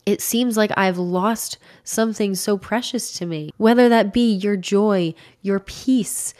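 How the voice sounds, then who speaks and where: Earnest young woman, slightly raspy voice. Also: medium pitch, unhurried, darkish tone. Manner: earnest, encouraging, emphatic. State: slightly raspy from recovering from illness. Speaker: faith content creator. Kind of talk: devotional talk to camera. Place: small home studio room indoors. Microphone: large studio microphone close to mouth.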